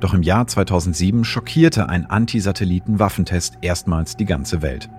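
A man's voice: deep voice, a little mellow. A man hosting and narrating scripted science explainers in a factual, carefully phrased documentary style.

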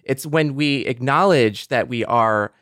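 Male panelist, medium pitch, casual conversational delivery, dry recording. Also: measured pace, fairly bright tone, no echo. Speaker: podcast panelist. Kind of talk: casual group discussion.

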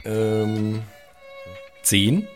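A man's voice: lowish voice, extremely slow, faint music behind. A man chatting casually as a player in a pen and paper game. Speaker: player in a role-playing game. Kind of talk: casual table talk.

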